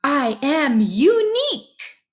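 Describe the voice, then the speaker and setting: A woman's voice: sits high, very animated, drawn-out words, pitched above the performer's own voice, heavily acted. Woman as an exuberant, proud artist beetle. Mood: encouraging. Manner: exclamatory, self-assured. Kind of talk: children's storybook read-aloud.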